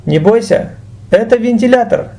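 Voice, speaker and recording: High-voiced, mellow man giving a lesson. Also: lively intonation. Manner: deliberate, explanatory phrasing. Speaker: Arabic language teacher. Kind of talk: instructional explanation and translation.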